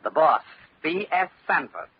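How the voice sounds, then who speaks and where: A man playing a sharp, tough investigator in an old-time radio detective drama. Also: fairly high pitch, sing-song, unhurried, husky, heavily acted. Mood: matter-of-fact. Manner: hard-boiled, explanatory. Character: sharp, tough male investigator, brisk and self-assured. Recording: dull lo-fi old radio, faint noise.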